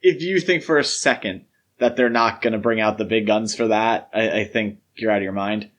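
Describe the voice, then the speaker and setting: A man's voice: lowish voice, moderate pace, a bit bright. A man co-hosting a podcast, chatting casually and giving his opinions with emphasis. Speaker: podcast co-host. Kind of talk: casual film discussion chat.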